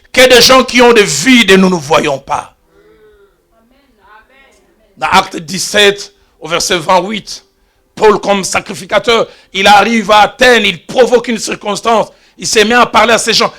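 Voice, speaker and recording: A pastor preaching to his congregation, a man with a bright, ringing voice. He tells his stories by dropping to hushed asides and then rising to loud exclamations. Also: sits high. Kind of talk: sermon. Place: church.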